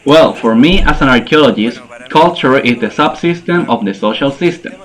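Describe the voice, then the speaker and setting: A man's voice: mid-range voice, expressive, unhurried, music in the background, poor audio. Hesitant man answering an interview. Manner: hesitant, searching for words. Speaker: interviewee, archaeologist. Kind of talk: answering interview questions in English.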